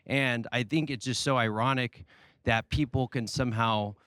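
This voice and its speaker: A man speaks on a panel in a smooth, resonant voice that comes across dry and close. He rambles through his argument, hesitating and restarting as he builds his point. His pitch is ordinary for a man. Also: fairly even intonation, moderate pace, fairly bright tone, no room sound. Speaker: panel debate guest.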